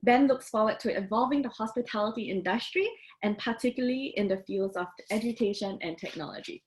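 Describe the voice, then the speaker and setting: A woman's voice: a little high, brisk, slight background noise, rough recording. A woman hosting and moderating a casual interview, introducing guests and asking questions. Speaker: host, moderator.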